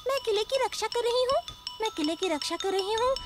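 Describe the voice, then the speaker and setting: A performer voicing a cheerful, playful young animal in a cartoon dub, excited and defiant. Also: very high voice, a little fast, over loud music.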